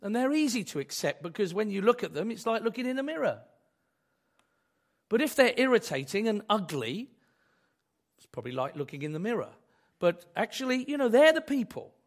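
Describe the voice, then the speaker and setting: A man delivers a sermon in a hoarse voice, worn down by a cold. He talks high and with lively rises and falls, and keeps the tone conversational with rhetorical questions thrown at his listeners. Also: moderate pace. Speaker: pastor.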